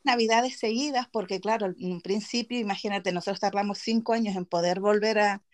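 A woman's voice: mid-range voice, fairly even intonation, fairly quick. A woman from Chile with a Canary Islands Spanish accent, hosting a casual podcast chat, chatty and giggly. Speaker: podcast host.

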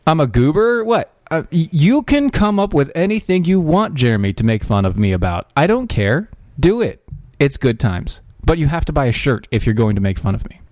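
A man's voice: fairly high pitch, very animated, normal speed, a little mellow, no echo, clean studio sound. A man hosting a podcast, giving casual political commentary in a sarcastic, ranting way with frequent restarts and fillers. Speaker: podcast host.

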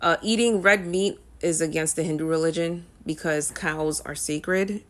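A young woman talks casually to her viewers in a lowish voice. She rambles and pads her sentences with ums and uhs, in a relaxed, unscripted vlog style. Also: normal speed. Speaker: music vlogger. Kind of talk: casual talk to camera.

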